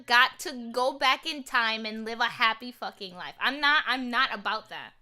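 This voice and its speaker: A woman's voice: a little high, animated, normal speed, bright, forceful tone. A young woman in a casual group chat on a livestream, blunt, opinionated and slangy. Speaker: co-host of a movie discussion livestream.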